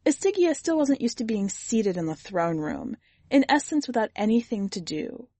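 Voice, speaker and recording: A woman reading a literary fantasy story as scripted narration, with a measured, deliberate storytelling delivery. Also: fairly high pitch, very animated, mellow, no room sound. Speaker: podcast host and story reader.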